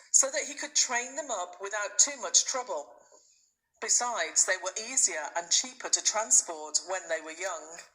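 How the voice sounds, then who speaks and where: A woman reading a children's story aloud as a teacher would, in expressive scripted narration. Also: mid-range voice, poor audio.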